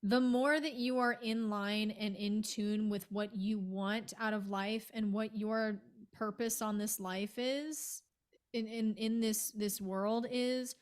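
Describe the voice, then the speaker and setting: A woman, a singer guest, talking earnestly and enthusiastically in a casual interview. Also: mid-range voice.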